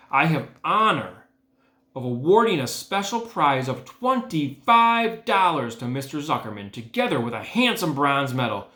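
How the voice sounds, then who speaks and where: A man's voice: on the high side, a bit bright. A man voicing a pompous fair announcer in a storybook, formal and declamatory. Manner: declamatory, drawn-out formal delivery. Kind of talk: storybook character voice. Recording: echoey room.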